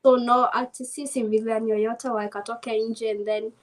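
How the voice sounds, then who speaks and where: Young Kenyan woman, soft voice, relaxed interview guest, light and a bit high. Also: moderate pace. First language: Swahili. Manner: soft-spoken, mixes Swahili and English, laughs often. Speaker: interview guest, navy sailor. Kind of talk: casual interview chat.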